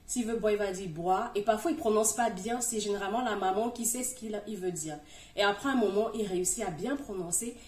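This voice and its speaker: A middle-aged woman talks straight to her audience in a warm, coaxing way, pushing her words hard and then dropping to a hush. Her voice sits at an ordinary pitch for a woman. It carries a roomy echo and sounds set back from the microphone. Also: fairly quick. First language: French. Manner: encouraging, addresses the viewer directly, emphatic swings in loudness. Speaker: YouTuber giving language-learning tips. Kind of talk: direct-to-camera advice video. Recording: roomy, distant from the mic.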